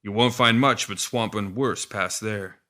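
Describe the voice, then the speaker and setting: Man playing a deferential coachman, bright and forceful. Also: fairly low pitch, unhurried. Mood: deferential, cautionary. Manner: polite, deferential. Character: deferential male coachman, plain-spoken and cautionary.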